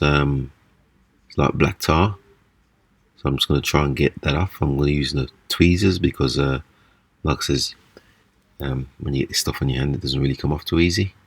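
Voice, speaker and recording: A man giving a casual, hands-on repair demonstration, explaining haltingly step by step. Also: extremely low pitch, vocal fry, breathy edge, dry recording. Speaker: repair video presenter. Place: small indoor room at a table.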